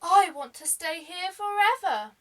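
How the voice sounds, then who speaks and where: A woman's voice: very high-pitched, expressive, a little slow, far from the mic, pitched above the performer's own voice. A woman voicing a friendly male storybook snowman, emphatic and full of childlike wonder. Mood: delighted.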